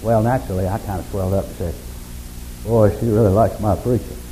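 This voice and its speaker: A man preaching a sermon in a folksy, unhurried way, with self-deprecating humor and a monotonous, mellow voice. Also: lowish voice, a little hiss or hum, rough recording. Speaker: pastor.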